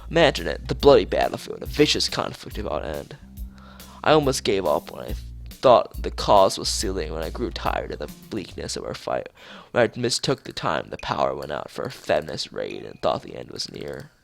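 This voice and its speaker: A man acts out a young conspiracy-obsessed schoolboy from a visual novel. He rants and pleads with urgent melodrama, his pitch leaping about over a slightly gravelly edge. Light music plays behind him. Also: sing-song, normal speed, heavily acted. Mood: grandly dramatic. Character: paranoid, ranting young male conspiracy theorist schoolboy. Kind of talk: reading visual novel dialogue aloud.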